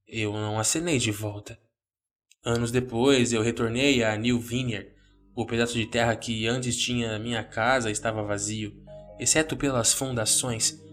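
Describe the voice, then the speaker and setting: A man's voice: mid-range voice, a bit bright. A man with a Brazilian accent reading a scripted first-person horror story as narrator. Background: background music.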